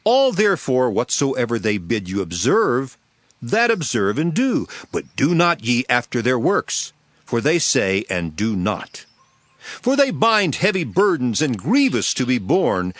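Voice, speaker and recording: A man's voice: mid-range voice, no room sound. An emphatic, authoritative male teacher. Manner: solemn, emphatic, declamatory. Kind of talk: dramatized Bible reading.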